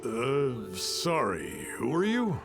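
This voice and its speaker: A man's voice: medium pitch, big pitch swings, slow, over music. An actor voicing a wary townsman in a video game, guarded and easily offended. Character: wary, healthy townsman offended by morbid stranger.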